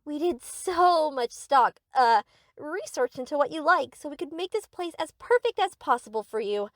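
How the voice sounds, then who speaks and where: A woman's voice: high-pitched, lively intonation, moderate pace, fairly bright tone, no room sound, studio quality. A woman playing a sweet, eager, obsessive young yandere best friend in an anime-style audio roleplay, gushing and theatrical. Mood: proudly doting.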